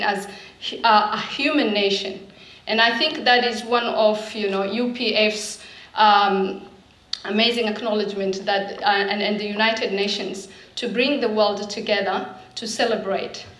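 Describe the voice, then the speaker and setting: A woman's voice: on the high side, a bit bright, roomy sound, poor audio. A woman giving an earnest, heartfelt public speech at a community event.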